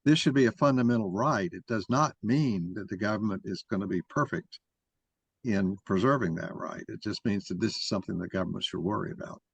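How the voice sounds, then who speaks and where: A man's voice: fairly low pitch, brisk, creaky, husky, dry recording, highs cut off. A man moderating a mock trial discussion and arguing his own view as he goes. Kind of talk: argumentative discussion.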